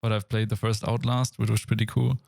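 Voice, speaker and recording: A man's voice: lowish voice, flat delivery, brisk, gravelly, a little mellow, no echo, clean studio sound. A young German man with a German accent, co-hosting a casual games podcast in a thoughtful, searching way. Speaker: podcast co-host. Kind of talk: casual chat.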